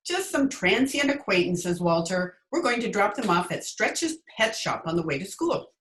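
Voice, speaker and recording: Lady doing a sardonic teacher in old radio comedy, expressive, echoey room, remote recording. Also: medium pitch, normal speed, a little hiss or hum. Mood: wry. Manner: dry, sardonic. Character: wry, quick-witted adult woman schoolteacher. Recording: roomy echo, remote video-call recording.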